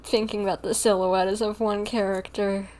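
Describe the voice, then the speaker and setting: A woman livestreamer giving casual, informal commentary on a game with drawn-out reactions. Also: medium pitch, little pitch movement, a little mellow, poor audio.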